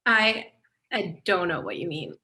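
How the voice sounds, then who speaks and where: A woman's voice: lowish voice, big pitch swings, a little slow, sharp tone, exaggerated voice. An actress playing a nervous young female author at a play table read, flustered and stammering.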